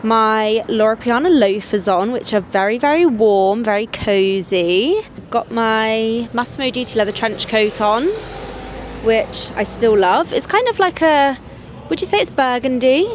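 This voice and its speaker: A woman's voice: fairly high pitch, unhurried, slight background noise. A young woman, chatting casually to camera for her vlog, upbeat and full of "like" and "so". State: voice going, getting sick. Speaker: vlogger.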